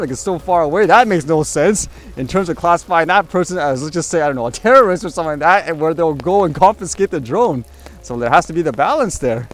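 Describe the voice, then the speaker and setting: A man giving casual opinion commentary as a vlogger, rambling along conversationally with frequent hedging asides. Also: a little high. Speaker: vlogger host.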